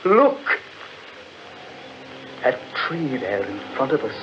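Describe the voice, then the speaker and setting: Slow-spoken, uneasy gentleman. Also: mid-range voice, huge pitch swings. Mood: ominous dread. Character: uneasy gentleman, Danvers, sensing evil in woods. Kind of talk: 1940s radio horror acting. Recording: muffled, noisy 1940s radio recording.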